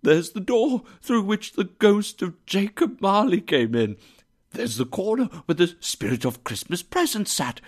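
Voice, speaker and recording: A man's voice: medium pitch, wildly swooping pitch, unhurried, exaggerated voice. A man voicing an overjoyed, reformed old miser in a storybook reading, exclamatory and full of glee. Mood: amazed delight. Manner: exclamatory, gleeful, effusive.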